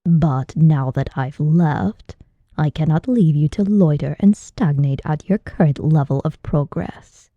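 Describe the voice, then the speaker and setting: Woman putting on a low, haughty old martial arts master. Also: no room sound, phone-quality sound. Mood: stern, cold. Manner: haughty, slow, deliberate. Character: imperious older male martial arts master, mocking, disdainful.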